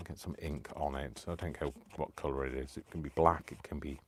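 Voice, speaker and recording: Deep-voiced tired man rambling. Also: steady tone, a little fast, background noise. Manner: chatty, self-deprecating, talks to himself. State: tired, keeps clearing his throat. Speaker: live-stream crafting host. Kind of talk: rambling casual chat while crafting.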